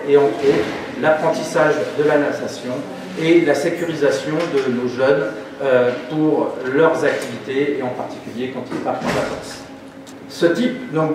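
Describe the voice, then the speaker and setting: A man giving a formal prepared speech as an official, with measured, didactic phrasing. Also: a little high. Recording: very echoey hall, distant mic, noisy.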